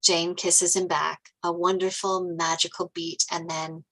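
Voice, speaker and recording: A woman's voice: fairly low pitch, flat delivery, unhurried, fairly bright tone, low-quality recording. A woman reading screenplay stage directions aloud as scripted narration.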